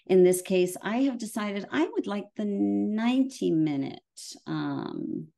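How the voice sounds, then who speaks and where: A woman's voice: mid-range voice, expressive, a little slow, gravelly, mellow. A woman with an Irish accent giving a casual webinar product presentation over a video call, with frequent fillers and restarts. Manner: hesitant, many fillers and restarts.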